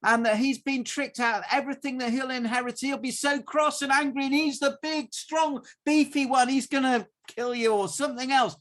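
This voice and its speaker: A man's voice: fairly high pitch, animated, normal speed, breathy edge. A man voicing a scheming, protective mother in a children's Bible story. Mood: urgent.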